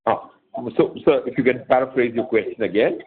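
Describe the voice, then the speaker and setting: A man's voice: medium pitch, a little fast. Indian-accented male executive on a muffled call. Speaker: company executive answering investor questions. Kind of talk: earnings call answers, unscripted explanation. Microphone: conference call line. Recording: dull lo-fi conference call line.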